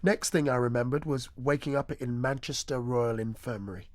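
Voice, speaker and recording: Guy acting as washed up male supply teacher, deadpan nostalgic storytelling, scripted comedy monologue, very dry close sound. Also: medium pitch, normal speed, no room sound, natural voice. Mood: rueful, deadpan. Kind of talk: scripted comic monologue reading.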